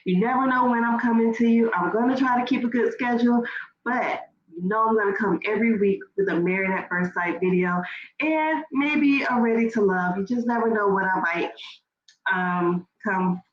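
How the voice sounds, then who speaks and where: A woman's voice: fairly high pitch, normal speed. A woman with a stuffy nose, rambling casually as she recaps a reality TV show for her channel. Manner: chatty, rambling with fillers and restarts. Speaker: YouTube reaction host. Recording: echoey room, dull lo-fi sound, faint noise.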